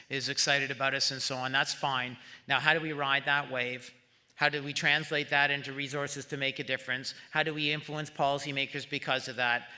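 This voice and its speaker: A man with a very bright, forceful voice delivers a closing speech to conference delegates. He speaks as the host, urging his listeners on, and often halts and hesitates between phrases. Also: medium pitch. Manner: hesitant, halting, rallying the audience. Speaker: summit host giving closing remarks.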